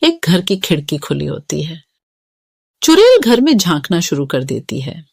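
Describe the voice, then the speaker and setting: A woman's voice: sits low, sing-song, unhurried, vocal fry, husky, no echo, studio quality. A woman narrating a scripted children's story.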